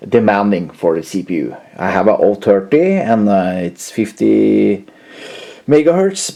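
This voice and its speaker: Halting low-voiced man with lively, swinging pitch. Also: vocal fry. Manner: halting, hesitant, searching for words. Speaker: host of a retro computer channel. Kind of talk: casual unscripted tech demonstration.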